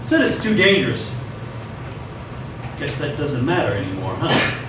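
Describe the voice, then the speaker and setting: A man acting as a cocky teen boy livestreamer with a high, animated voice. He talks to his viewers in showy slang, all bravado over hurt, in a radio play recorded in a very echoey space. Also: a little slow. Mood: subdued. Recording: echoey stage, distant mic, noisy.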